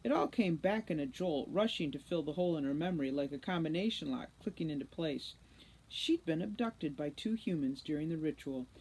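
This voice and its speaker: A woman reading a children's novel aloud as an expressive narrator. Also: low voice, brisk, husky, poor audio. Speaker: read-aloud storybook narrator, teacher.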